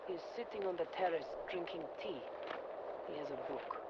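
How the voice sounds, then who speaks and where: A woman's voice: on the low side, moderate pace, heavy background noise, lightly acted. An actress playing a dutiful, cold female Soviet assassin in a video game, terse and detached. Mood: cold, controlled. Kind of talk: video game audio log acting. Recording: muffled game audio, loud watery ambience.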